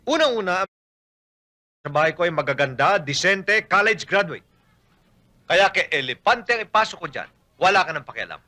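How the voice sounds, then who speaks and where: An actor playing a proud homeowner who scolds and boasts. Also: male voice, sits high, sing-song, normal speed, pauses often, pushed and bright, heavily acted. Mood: scolding. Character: blustering, proud homeowner man.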